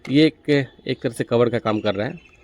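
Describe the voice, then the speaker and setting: A man's voice: mid-range voice, moderate pace, a little mellow. Man presenting a methodical product review. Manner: methodical, step-by-step explaining. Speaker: gadget reviewer, video host. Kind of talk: product review walkthrough, explanatory.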